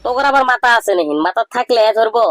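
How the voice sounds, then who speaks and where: A man voicing a cheeky, boastful young village know-it-all in a comedy cartoon, with a rural Bangladeshi accent and a pitched-up voice. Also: lively intonation, fast talker, pushed and bright, dry recording, heavily acted. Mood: boastful, teasing. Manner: bragging, teasing, declamatory.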